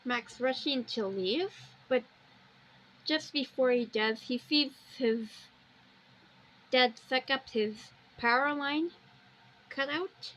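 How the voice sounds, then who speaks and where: A dull, lo-fi recording of a woman with a very high voice. She gives off-the-cuff commentary at a very slow pace, halting and circling back over her phrases. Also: a bit bright. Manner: halting, rambling, repeats phrases. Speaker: video host. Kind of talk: unscripted picture-by-picture commentary. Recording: dull lo-fi recording with background noise.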